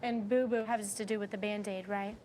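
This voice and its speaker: A woman's voice: mid-range voice, steady tone, fairly quick, a little mellow, a little hiss or hum. A woman in her twenties, a teacher who speaks expressively and encouragingly about and during reading with children. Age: 20s. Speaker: afterschool teacher. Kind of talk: explaining teaching methods and leading a children's read-aloud.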